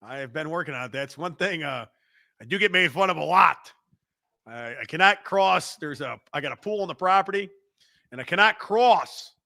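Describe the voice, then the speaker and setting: A man with a Chicago accent hosting a live show, loud and profane, bantering and reading out the chat. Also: fairly high pitch, expressive, moderate pace, gravelly. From Midwestern United States. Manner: loud, rowdy and profane, with bursts of laughter.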